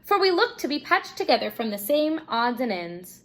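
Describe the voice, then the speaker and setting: A woman's voice: high-pitched, big pitch swings, normal speed, pitched above the performer's own voice. A woman voicing a small, earnest thirteen-year-old herd boy in a children's storybook, with a plaintive manner. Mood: friendly.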